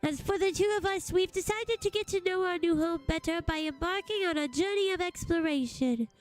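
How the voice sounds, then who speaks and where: Woman acting a polite little alien traveler, high-pitched, sweet, earnest, game dialogue, faint music. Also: a little fast. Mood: grateful. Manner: polite, earnest, formal thanks. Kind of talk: video game dialogue read-aloud.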